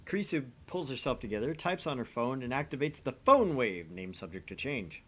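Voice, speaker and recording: Male narrator, very bright forceful tone, animated pitch, reading a visual novel script aloud. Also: moderate pace.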